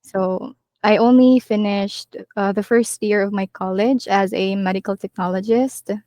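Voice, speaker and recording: A woman in her twenties, a call center agent being interviewed, answering earnestly with many pauses and ums. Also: medium pitch, steady tone, warm tone, no room sound, studio quality. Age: 20s. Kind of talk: casual interview chat.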